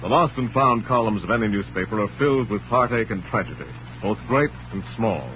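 A man's voice: lowish voice, expressive, brisk, noisy background, close to an everyday voice. Dramatic old-time radio announcer , a man. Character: urgent, dramatic male radio announcer-narrator. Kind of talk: 1940s radio announcing, scripted narration. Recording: muffled old radio recording.

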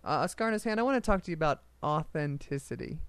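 Silly high-voiced man hosting. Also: sing-song, fast, fairly bright tone, clear, resonant tone, dry recording. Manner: silly, probing, mock-interviewer. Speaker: co-host. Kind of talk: casual comedic chat.

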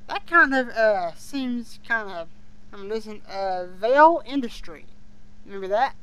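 A podcast co-host chatting casually as a fan, speaking in a halting way with hesitations that trail off. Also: medium pitch, expressive, a bit bright, clean tone, dry recording, poor audio.

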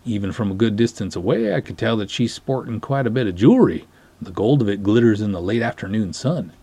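Theatrical male reader, husky, lively pitch. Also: a little fast, no echo. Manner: formal, wordy, theatrical delivery. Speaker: podcast host reading a short story aloud. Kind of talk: dramatic reading of narrated dialogue.

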